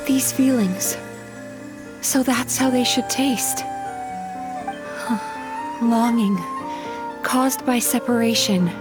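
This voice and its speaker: A young female dream spirit from an anime-style game. Her high voice is earnest and articulate, delivered expressively with soft music behind it. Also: slow, pauses often. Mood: reflective.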